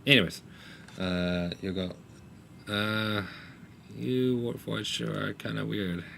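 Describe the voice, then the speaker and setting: A man with a deep voice leads a tabletop roleplaying game. He talks in a low-key, casual way with halting phrasing. Faint noise sits behind him. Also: fairly even intonation, creaky, fairly bright tone. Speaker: game master.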